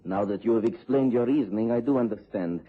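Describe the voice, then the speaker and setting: An actor playing a formal, earnest mid-European gentleman in a radio drama, with a Central European accent. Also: fairly low pitch, slightly rough. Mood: polite, admiring. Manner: formal, deliberate wording. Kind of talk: BBC radio drama acting. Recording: muffled tinny old radio recording.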